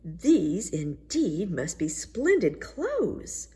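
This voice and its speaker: A woman's voice: lowish voice, wildly swooping pitch, drawn-out words, dark tone, full character voice. A woman reading the part of a vain, fretful emperor in a storybook tale. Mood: self-satisfied. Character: vain, fretful male emperor fond of finery.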